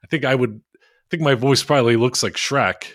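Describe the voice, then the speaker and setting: A man co-hosting a podcast over a call, rambling and self-mocking in a flat monotone with crude jokes. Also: medium pitch, a little fast. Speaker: podcast co-host. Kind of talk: casual podcast chat.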